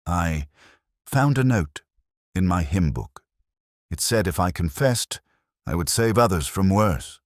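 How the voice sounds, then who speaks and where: A man acts out a retired schoolmaster in a mystery audiobook, resigned and earnest. His baritone is low but animated, as he confesses with anxious guilt. The sound is dry and close. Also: slow, pauses often, no echo. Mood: anxious pleading.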